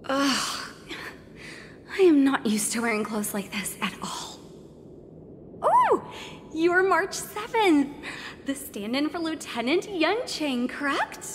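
Eager, high-voiced game reporter. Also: female voice, animated, measured pace, slight background noise. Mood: flustered. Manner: polite, formal, presenterly. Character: polite, eager young female journalist at a tournament. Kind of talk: video game voice acting. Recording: long reverb, music behind. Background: music.

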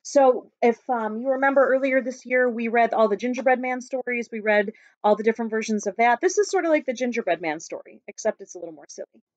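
A woman in her thirties reading a picture book aloud to schoolchildren with expressive storytelling. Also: a little high, quick pace, hardly any pauses, dry recording. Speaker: school staff reading to pupils. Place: small book-lined room at home. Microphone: webcam at arm's length. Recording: dull, lo-fi webcam sound.

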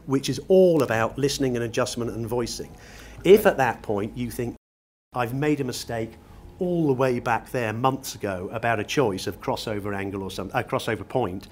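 A middle-aged British man explaining his work in an unscripted interview, articulate and ornate in his wording. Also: mid-range voice. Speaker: loudspeaker designer being interviewed. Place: large glass-roofed exhibition show room. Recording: noisy exhibition room ambience.

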